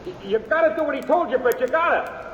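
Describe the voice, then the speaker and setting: An actor playing a frightened young cowhand in a radio western, who stammers and pleads. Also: male voice, extremely high pitch, lively intonation, fast talker, muffled recording. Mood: pleading. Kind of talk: 1950s radio western acting. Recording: echoey, hissy old radio recording.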